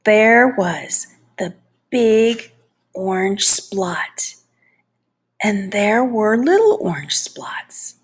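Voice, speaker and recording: A woman reading a children's story aloud expressively and deliberately, lingering on key words. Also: medium pitch, lively intonation, slightly rough. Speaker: storybook reader.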